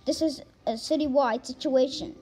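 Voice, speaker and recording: A woman's voice: sits high, normal speed, dark tone, faint music behind. A woman announcer reading a scripted emergency weather warning in a formal bulletin manner.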